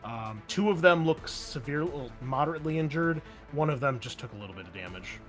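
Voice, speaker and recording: A man's voice: medium pitch, lively intonation, brisk, fairly bright tone. A man running a tabletop game as game master, narrating informally with wry asides and casual swearing. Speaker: game master and host of an actual-play show. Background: background music.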